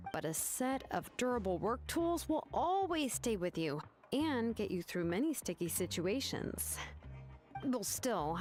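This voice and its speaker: A woman plays a composed young craftswoman in a video game. Easygoing and casual, the mid-pitched voice still moves up and down in a lively way. Soft music sits behind it. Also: close to an everyday voice. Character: laid-back, composed young craftswoman shopkeeper.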